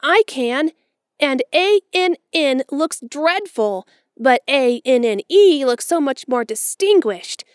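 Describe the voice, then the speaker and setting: A woman's voice: high voice, expressive, slow pace, dry recording, clean studio sound, pitched above the performer's own voice. A woman voicing an eager, dramatic orphan girl of about eleven, pleading in a breathless, florid way in a storybook reading. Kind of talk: audiobook character voice.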